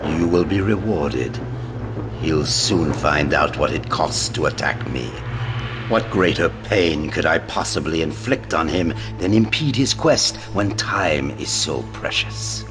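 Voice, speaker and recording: A lordly vampire villain in a dramatic game cutscene. The voice is extremely deep and very slow, deliberate and gloating with menace, set against loud music. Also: male voice.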